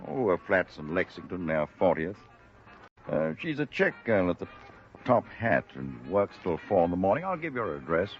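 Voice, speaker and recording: A man's voice: sits low, big pitch swings, normal speed. An actor with an American accent playing an evasive, self-justifying man in an old radio drama, talking with hesitant hedging. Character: evasive, self-justifying well-off male host reporting a theft. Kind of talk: 1940s-50s American radio drama acting. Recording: dull vintage radio recording with faint music.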